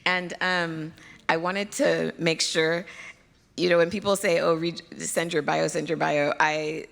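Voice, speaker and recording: Nigerian american lady speaking at a conference, low voice with some gravel, laughs a lot and chats with the crowd. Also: steady tone, unhurried, fairly bright tone. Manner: chatty, self-deprecating, laughs often. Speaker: pediatric hematologist, keynote speaker. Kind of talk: conference talk. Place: conference hall stage.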